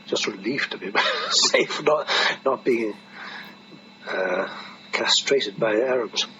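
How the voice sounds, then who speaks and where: An older man, a veteran being interviewed, recalls his service in a halting, hesitant manner. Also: mid-range voice, animated, sharp tone. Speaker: air force veteran interviewee. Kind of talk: reminiscing in an interview. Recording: noisy, muffled archive interview tape.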